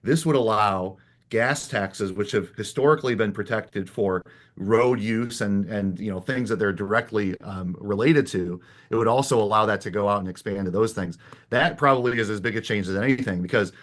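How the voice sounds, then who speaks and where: A male panelist with a fairly low voice who walks through legislation step by step. He explains the details in an analytical way rather than debating. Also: normal speed, fairly bright tone. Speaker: panel guest. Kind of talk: political panel discussion.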